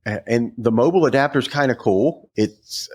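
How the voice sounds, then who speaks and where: A man co-hosting a podcast, casually discussing a product in a halting way, as if working it out aloud. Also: medium pitch, darkish tone. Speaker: podcast co-host.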